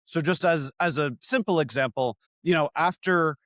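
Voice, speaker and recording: Man, fairly high voice, journalist guest, long-winded storytelling, dry close mic. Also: moderate pace, dry recording. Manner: long-winded, animated when quoting others. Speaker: independent video journalist, guest. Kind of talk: conversational interview storytelling.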